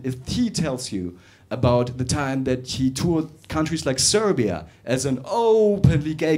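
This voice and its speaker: A young man from Russia performing a stand-up comedy set in a club, talking animatedly and swinging between quick and drawn-out delivery. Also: medium pitch, darkish tone. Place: comedy club with live audience.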